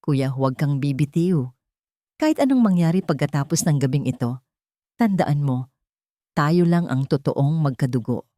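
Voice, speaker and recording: A woman voicing a betrayed young woman in an audio story, restrained, pointed and quietly vengeful. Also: sits low, lively intonation, moderate pace, no echo, clean studio sound. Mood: urgent, earnest.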